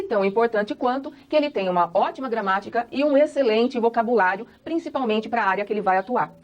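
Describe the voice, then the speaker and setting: A woman English teacher from Brazil talks straight to her viewers in a relaxed lesson. She is emphatic and throws out rhetorical question after rhetorical question, in a voice of middle pitch. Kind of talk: casual spoken lesson.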